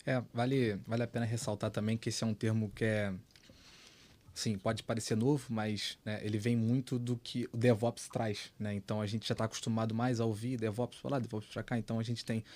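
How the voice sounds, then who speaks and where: A man, a guest on a tech panel, talking in a relaxed, chatty way with many fillers. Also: on the low side, a little fast. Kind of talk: casual panel discussion.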